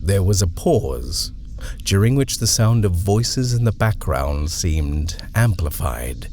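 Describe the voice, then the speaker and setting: Deep-voiced man narrating a horror tale. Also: big pitch swings, slow pace, darkish tone.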